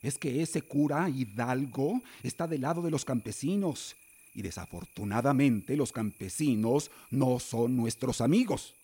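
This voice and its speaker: Stern, husky Mexican father. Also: mid-range voice, measured pace, mellow. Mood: indignant. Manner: authoritative, scolding. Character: stern, fearful middle-aged father of a well-off family. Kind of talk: Spanish-language radio drama acting.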